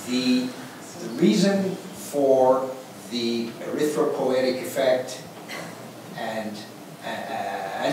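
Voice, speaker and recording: A man in the audience with a loud voice, asking a long, rambling question full of fillers and restarts. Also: medium pitch. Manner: rambling, self-deprecating, many fillers. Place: large lecture hall with audience. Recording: echoey hall, faint noise.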